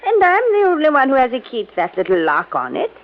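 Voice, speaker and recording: A woman's voice: wildly swooping pitch, quick pace. An actress playing a wealthy widow and former chorus girl in a vintage radio mystery, with a fluttery, dramatic manner. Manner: fluttery, dramatic swoops in pitch. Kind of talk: 1950s radio drama acting. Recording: dull vintage radio recording.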